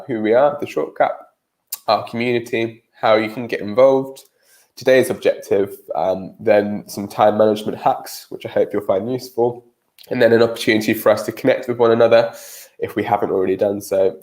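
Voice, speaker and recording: A man's voice: on the low side, a little mellow. A British man with a cold and a nasal voice, giving a live-streamed career webinar presentation with frequent ums.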